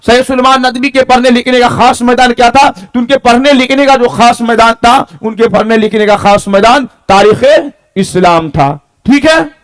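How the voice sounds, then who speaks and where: A man's voice: extremely high pitch, normal speed, hardly any pauses. A male teacher. He delivers an exam-prep lesson didactically, reading each question and answer aloud. He repeats key points and often checks that learners understand.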